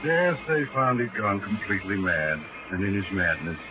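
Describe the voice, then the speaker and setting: An actor playing a wry, mysterious host of a 1940s radio horror show, addressing the listener with mock sympathy. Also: male voice, low-pitched, big pitch swings, normal speed, noisy background. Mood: wry, sinister. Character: sinister, wry male mysterious storyteller. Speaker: host narrator. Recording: tinny 1940s radio recording.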